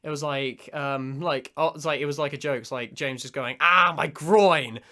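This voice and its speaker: A man with a bright, forceful voice pitched a little high hosts a casual commentary. He talks in a rambling, hesitant way. He keeps cutting himself off and starting over. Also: moderate pace. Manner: rambling, hesitant, self-interrupting. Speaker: commentary host and amateur voice actor. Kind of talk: casual reaction commentary chat.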